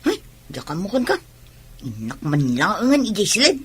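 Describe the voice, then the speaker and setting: A woman's voice: mid-range voice, big pitch swings, moderate pace, a bit bright, breathy edge, heavily acted. A woman playing a sullen, weary young pregnant wife in an Ilocano radio drama, resentful and bitter. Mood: bitter, complaining.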